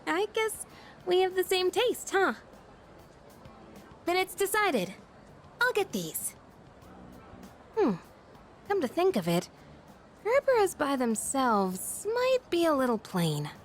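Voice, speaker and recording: A friendly teenage schoolgirl in a video game. Her voice is very high and swings expressively up and down. She sounds earnest, gentle and lightly teasing, with music playing behind her. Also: wildly swooping pitch, takes it slowly, pauses often, exaggerated voice. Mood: cheerful, playful. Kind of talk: English video game voice acting. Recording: background music under the voice.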